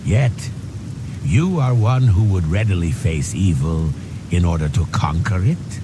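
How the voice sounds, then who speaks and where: An extremely deep, gravelly haunted outcast. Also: male voice, expressive, measured pace, heavy background noise. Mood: bitter, wary. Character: brooding, haunted male outcast with a dark past. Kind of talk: fantasy video game mod voice acting. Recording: loud music, rain and thunder behind.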